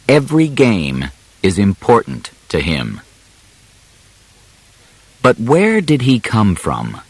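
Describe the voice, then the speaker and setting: A man narrating an audiobook biography from a script, phrasing deliberately in short, separated chunks. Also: lowish voice, dry recording.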